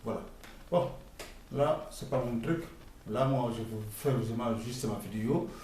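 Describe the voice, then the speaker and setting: A middle-aged man from the Congo with a Congolese French accent, talking in an emphatic, halting, scolding way for his video blog. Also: medium pitch, darkish tone, slight background noise. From Democratic Republic of the Congo. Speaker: vlogger. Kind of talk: casual spoken commentary. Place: outdoors near a construction site. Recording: echoey and distant with faint ambience.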